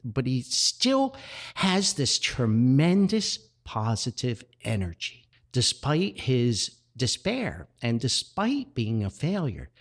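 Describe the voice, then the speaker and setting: Husky, expressive man hosting a podcast. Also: mid-range voice, slow pace. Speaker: podcast host. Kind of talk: reflective personal podcast talk.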